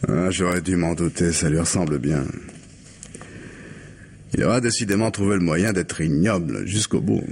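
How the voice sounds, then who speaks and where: A low-voiced husband, weary and full of remorse, confesses in a radio crime play. He speaks haltingly, going back over his words. The old recording has a clear layer of noise. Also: a little mellow. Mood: bitter resignation. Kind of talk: French radio crime drama acting.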